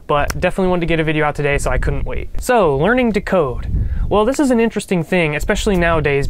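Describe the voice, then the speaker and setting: A young man with a nasal voice from a cold, hosting a casual coding tutorial with upbeat talk and joking asides. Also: on the high side. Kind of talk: casual instructional talk to camera.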